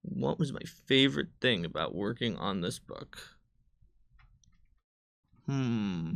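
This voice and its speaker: A man's voice: on the low side, animated, slow pace, creaky, a bit bright, no room sound, studio quality. A man speaking as a game designer in a casual livestream chat, explaining rules in a rambling, detailed way. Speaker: game designer answering viewer questions on a livestream.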